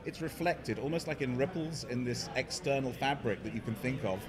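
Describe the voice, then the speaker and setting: A man's voice: medium pitch, a little fast. A middle-aged man with an English accent, a startup CEO explaining his work in an interview. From England. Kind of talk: conference interview. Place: busy hotel conference hall, indoors. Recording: noisy crowd in a conference hall. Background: crowd chatter.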